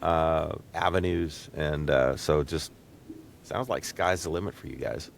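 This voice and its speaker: Male host, deep low voice, casual interviewing, encouraging and appreciative, clear rumbling background noise. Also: a little slow. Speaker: podcast host.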